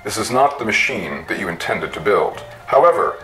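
A man voicing a pedantic, reasonable inventor in a story, explaining things in a measured, wry way. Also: fairly low pitch, big pitch swings, fairly bright tone, faint music behind, poor audio. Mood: matter-of-fact. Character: pedantic, reasonable male constructor friend, self-declared expert.

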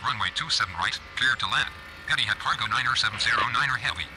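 A man's voice: mid-range voice, sharp tone. A man voicing an airline pilot in a game, reading back radio calls in a clipped manner. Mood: brisk. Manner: quick, clipped radio readbacks. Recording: noisy game radio audio.

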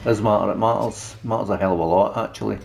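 A man's voice: on the low side, steady tone, quick pace, gravelly, darkish tone. A Glaswegian man casually commentating his gameplay, crude and joking, talking to himself and his viewers. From Scotland. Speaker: gameplay streamer. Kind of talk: casual let's-play commentary.

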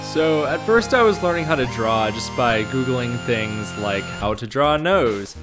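A man's voice: mid-range voice, expressive, measured pace, over music. A young man narrating a scripted vlog in a self-deprecating way. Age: young adult. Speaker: host, amateur game maker.

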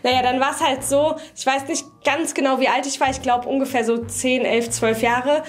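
A woman's voice: high-pitched, fairly even intonation, moderate pace. A young woman telling a story casually as a player in a game. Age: young adult. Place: bright indoor room, standing in a group.

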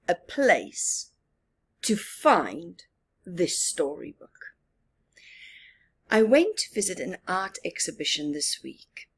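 A middle-aged woman reading aloud to children with commentary, in an expressive, emphatic manner. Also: lowish voice, slightly rough. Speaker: storyteller for children. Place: small living room, indoors.

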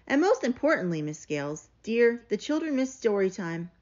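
A woman voicing a sweet, polite female kindergarten teacher in a storybook, gently and earnestly pleading. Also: mid-range voice, big pitch swings, normal speed, lightly acted. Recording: dull, lo-fi sound.

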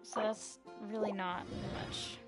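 A woman's voice: medium pitch, level tone, takes it slowly. A woman casually commentating her live gameplay, thinking aloud in short, sparse remarks. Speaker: live game streamer. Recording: game music behind. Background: game music.

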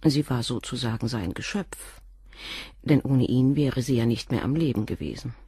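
An actress playing a composed middle-aged doctor's wife and former nurse, speaking frankly and in a measured way in a radio drama. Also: female voice, a bass voice, warm tone, slightly rough, no room sound, clean studio sound, exaggerated voice. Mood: candid. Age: 40s. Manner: measured, frank, controlled confession. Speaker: witness questioned by the inspector.

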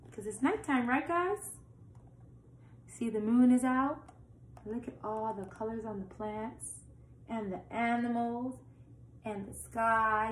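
A woman's voice: on the high side, slight background noise. A young woman reading a picture book aloud to children, adding simple explanations and questions for her young listeners. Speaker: storytime reader for children. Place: small plain-walled room indoors. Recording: roomy, echoing home recording.

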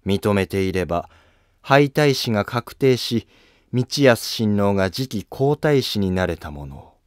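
Empress dowager played by a man, older, stern and wary, curt archaic phrasing, measured, dry history-drama sound. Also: lowish voice, animated, clear, resonant tone, dry recording. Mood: questioning, stern. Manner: curt, commanding, archaic speech.

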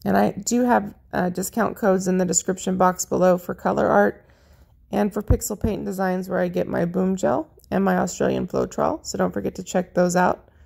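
A woman narrating her paint pouring video in a chatty, friendly way, her voice a little raspy. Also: mid-range voice, fairly even intonation, moderate pace, darkish tone. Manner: chatty, friendly, lists colors as she works. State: slightly raspy voice. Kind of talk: casual how-to narration over craft footage.

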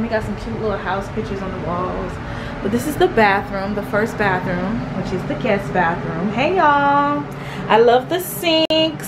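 A young woman giving a casual house tour vlog, chatting in an animated, slangy, gushing way. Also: fairly high pitch. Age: young adult. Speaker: vlogger. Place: indoors, rooms of a house. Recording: echoey rooms with clear background noise.

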